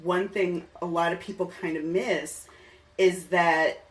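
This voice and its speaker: A man's voice: sits high, level tone, slow. A middle-aged man hosting a casual podcast interview, musing in a wordy way and building his points in loose steps. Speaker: podcast host interviewing a musician. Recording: roomy, distant mic, faint music.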